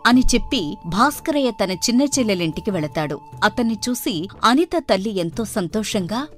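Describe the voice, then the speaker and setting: A woman narrates a scripted tale. Her voice sits at a middle pitch and moves up and down in a lively way, with soft music underneath. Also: normal speed.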